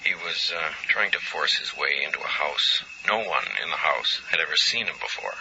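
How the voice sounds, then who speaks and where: An actor playing a businesslike, easygoing hospital colleague in a 1980s radio drama, matter-of-fact with hesitant pauses. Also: male voice, extremely low pitch, expressive, vocal fry, bright, forceful tone, full character voice. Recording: dull, noisy 1980s radio recording.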